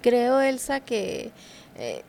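A woman's voice: a little high, sing-song, extremely slow, creaky, a little mellow, a little hiss or hum. A woman in a conversational devotional discussion, speaking in an agreeable, affirming way.

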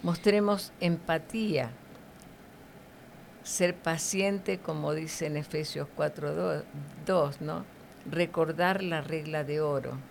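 Middle aged woman w deep gravelly voice, teaching in a devotional chat, bit of noise behind. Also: fairly even intonation, takes it slowly. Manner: didactic, with short tag questions seeking agreement.

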